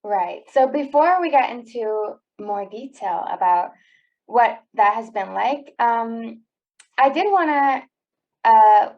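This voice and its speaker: Young woman, casual podcast host, slightly high voice, hesitant "um"s, lo-fi recording. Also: animated, takes it slowly. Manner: friendly questioning with hesitant "um"s. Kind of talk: casual interview. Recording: dull lo-fi sound.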